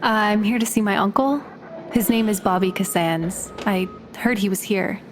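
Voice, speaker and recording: A woman's voice: mid-range voice, moderate pace, close to an everyday voice. Woman acting a smug, cunning con artist, music behind. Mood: polite, guarded. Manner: taunting, composed, gloating. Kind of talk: crime audio drama acting.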